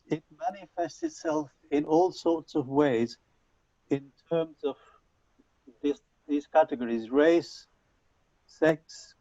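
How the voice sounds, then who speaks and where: A man whose first language is Punjabi, giving an online lecture to teachers in a measured, reflective way with frequent restarts, heard over a video call. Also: fairly high pitch, dark tone, no room sound. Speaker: conference speaker. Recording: dull lo-fi video call audio.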